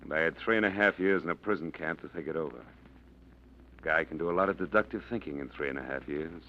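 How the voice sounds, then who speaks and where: An actor playing a blunt, hard-bitten FBI agent with clipped, commanding lines, in 1940s radio acting style. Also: male voice, a bass voice, a little fast. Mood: cold. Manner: clipped, commanding, tough-guy talk. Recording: dull lo-fi old radio broadcast.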